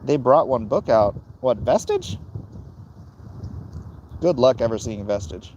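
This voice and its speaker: A man with a gravelly voice hosting a casual, opinionated livestream, answering chat in a blunt, dismissive way and muttering between thoughts. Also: mid-range voice, lively intonation, slow, a little mellow, dull audio, a little hiss or hum. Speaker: livestream host reading and answering chat comments.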